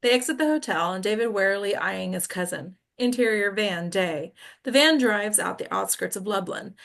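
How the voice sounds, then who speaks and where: A woman's voice: mid-range voice, animated, moderate pace, vocal fry, a bit bright. A woman narrating stage directions at a table read in a plain, informal way.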